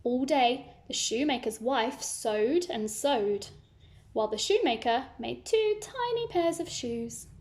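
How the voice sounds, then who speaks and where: A woman's voice: high voice, expressive, takes it slowly, a little mellow. A woman reading a children's story aloud, narrating expressively and adding simple asides for young listeners.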